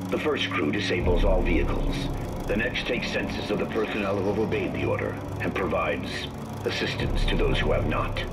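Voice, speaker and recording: An actor playing a solemn military commander giving grim final orders in a formal, measured address. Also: male voice, sits low. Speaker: military announcer. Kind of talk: formal scripted recorded address.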